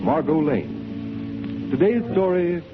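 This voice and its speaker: Old muffled radio announcer guy, highish lively voice, formal and dramatic, bit of music. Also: slow. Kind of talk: 1930s radio announcing, scripted.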